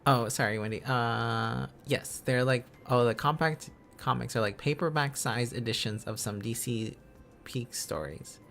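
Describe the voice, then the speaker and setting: A man chatting casually as a livestream host, musing aloud in halting, repeated phrases. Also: medium pitch, vocal fry, a bit bright, soft background music. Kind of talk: casual livestream chat while learning a language.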